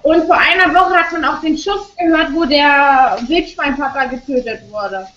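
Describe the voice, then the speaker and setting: A woman's voice: high-pitched, moderate pace, fairly bright tone, slight background noise. A young woman chatting as a guest over a video call from her home kitchen, bubbly and colloquial. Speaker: celebrity guest. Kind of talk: casual chat on a video call. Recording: roomy, dull video call audio.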